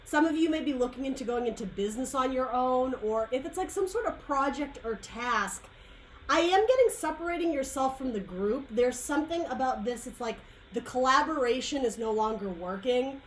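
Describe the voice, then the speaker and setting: Tarot reader, woman, higher voice, dry worn throat, loose rambling delivery. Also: expressive, moderate pace, slight background noise, poor audio. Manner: rambling, searching for words, frequent "like" and "um". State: dry, losing her voice. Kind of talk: casual tarot reading monologue.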